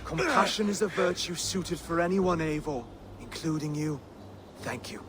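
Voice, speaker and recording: An actor playing a gentle, humble young Saxon king in a video game, earnest and formal in speech. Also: fairly high pitch, lively intonation, normal speed, background noise, natural voice. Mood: grateful. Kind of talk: video game dramatic acting.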